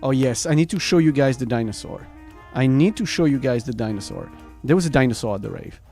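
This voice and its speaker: Animated man chatting on a livestream. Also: mid-range voice, fairly quick, soft background music. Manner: rambling, informal, swears casually; animated, laughs often. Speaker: variety streamer and host. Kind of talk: casual livestream chat to viewers.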